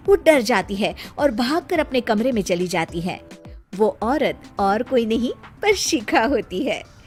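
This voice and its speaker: A woman's voice: on the high side, very animated, measured pace, soft background music. A woman reading scripted story narration as the narrator of a family tale.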